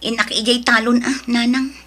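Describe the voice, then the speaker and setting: Radio drama: a woman playing a fed-up farm wife, bright and husky. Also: a little high, flat delivery, normal speed. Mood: exasperated. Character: overworked young wife, complaining.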